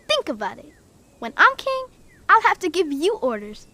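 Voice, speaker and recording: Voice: very high voice, wildly swooping pitch, normal speed, pushed and bright, exaggerated voice. A young performer voicing an eager, earnest male lion cub in a film, childlike and emphatic. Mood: cocky.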